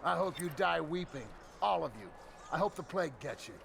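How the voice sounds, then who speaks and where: An actor playing a desperate, bitter striking labourer in a video game, rough-voiced, pleading and cursing. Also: male voice, a little high, animated, fairly quick, very noisy. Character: desperate, bitter male striking slaughterhouse worker. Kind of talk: scripted video game dialogue.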